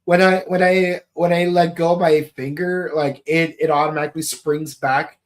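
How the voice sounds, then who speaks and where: A man co-hosting a podcast, chatting casually and joking as he rambles and thinks out loud. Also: fairly high pitch, flat delivery, normal speed. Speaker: podcast co-host. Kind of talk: casual chat about a TV series.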